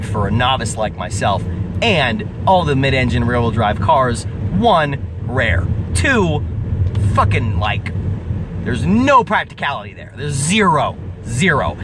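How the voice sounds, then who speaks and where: A man in his twenties, vlogging in a casual rant that is profane and emphatic, repeating himself and laughing at his own jokes. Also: medium pitch. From US Pacific Northwest. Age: 20s. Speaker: car vlogger. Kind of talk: casual ranting vlog. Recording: outdoor recording, mic at a distance, traffic noise.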